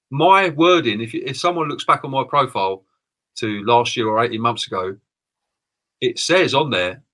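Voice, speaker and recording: A man's voice: mid-range voice, a bit bright, husky. A man co-hosting a live stream, agreeing and ranting, often repeating and stumbling over his words. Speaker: co-host, business owner.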